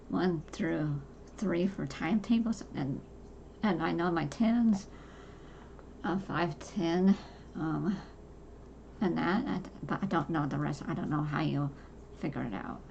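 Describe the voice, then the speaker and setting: A soft-voiced woman in her forties, talking casually and haltingly about her own life, with many fillers and restarts. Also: fairly low pitch, vocal fry, slight background noise. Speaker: podcast host. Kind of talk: casual personal talk to camera.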